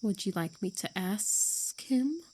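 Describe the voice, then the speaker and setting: Woman acting a very slow, mesmerizing creature. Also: medium pitch, expressive, darkish tone, husky. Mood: friendly, gracious. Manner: slow, deliberate, soothing. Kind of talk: ASMR roleplay acting.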